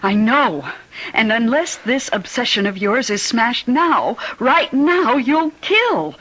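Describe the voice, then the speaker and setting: A devoted wife in a radio thriller, standing up to a dangerous husband. Her voice is bright and tense. She is urgent and firm, pleading with conviction. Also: a little high, animated, slow, exaggerated voice, low-quality recording. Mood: urgent, challenging. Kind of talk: radio drama acting.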